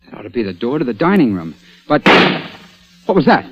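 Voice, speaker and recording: A man's voice: mid-range voice, very animated, brisk, over loud music, close to an everyday voice. An actor playing a stubborn, exasperated man about town in a 1940s-style radio crime drama, speaking in a clipped, dramatic manner. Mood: startled. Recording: dull old-time radio recording.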